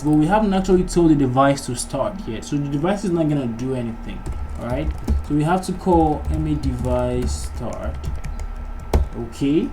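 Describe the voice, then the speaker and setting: A man giving a casual programming tutorial, thinking aloud in an informal, halting way as he works. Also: mid-range voice. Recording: noisy mic with keyboard typing. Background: background noise, keyboard typing.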